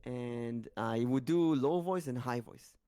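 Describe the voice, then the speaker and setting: A man hosting a podcast with a naturally high voice, chatting casually and telling stories with lots of fillers. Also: slow pace, mellow. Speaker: podcast host and streamer.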